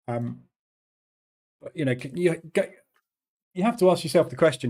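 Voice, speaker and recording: Mellow-voiced man hosting live trading. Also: medium pitch. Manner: chatty, explains plainly with "yeah" tag questions. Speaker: trading stream host.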